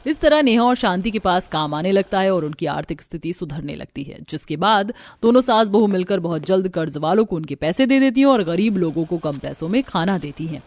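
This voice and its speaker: A woman's voice: fairly low pitch, expressive, a little fast, few pauses, a little mellow. A woman narrating a scripted cartoon family story.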